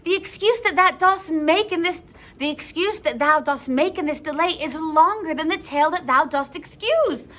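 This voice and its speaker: Woman acting impatient lovesick teenage girl, high and swooping. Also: animated, measured pace, pushed and bright, full character voice. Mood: pleading, exasperated. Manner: theatrical, pleading verse recital.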